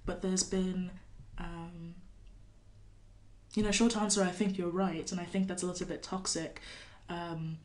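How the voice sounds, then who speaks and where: A woman poet from California, a guest on a podcast, talking thoughtfully with many pauses and fillers and reading her poem. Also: lowish voice, flat delivery. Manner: thoughtful, hesitant with many fillers, laughs often. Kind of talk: podcast interview with poetry reading.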